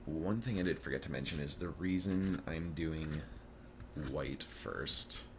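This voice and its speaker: A man talking softly in an ASMR drawing video, relaxed and slow, slipping into whispers now and then. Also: noisy background. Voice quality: deep voice. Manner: soft-spoken, slow and relaxed, drifting into whispers. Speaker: ASMR artist. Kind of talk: soft-spoken ASMR chat while drawing.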